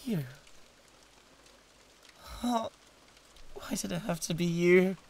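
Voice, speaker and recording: A man's voice: sits high, takes it slowly, vocal fry, full character voice. A British man playing a suave, condescending, flirtatious demon in a theatrical roleplay audio drama, with a haughty drawl. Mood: flustered, indignant.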